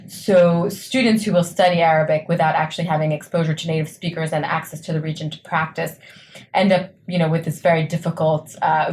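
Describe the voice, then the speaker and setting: A woman's voice: sits low, a little mellow, a little hiss or hum. A young woman from New York, a startup co-founder answering interview questions in an earnest, explanatory way with frequent fillers. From New York, USA. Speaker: startup co-founder, podcast guest.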